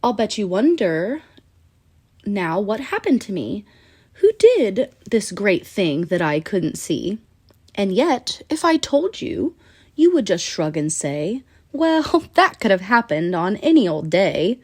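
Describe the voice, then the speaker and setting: Female children's book narrator, mid-pitched and very lively, sing-song rhyming read-aloud with deliberate pauses. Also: slightly rough.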